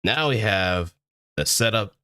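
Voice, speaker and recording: A man with a low voice talks through a casual livestream lesson. His pitch moves around a lot as he stresses and repeats key words, pausing deliberately between phrases. The sound is close and dry. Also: lively intonation, bright, forceful tone, clean tone, no room sound. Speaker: streamer. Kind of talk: casual livestream tutorial on voice acting, explaining step by step.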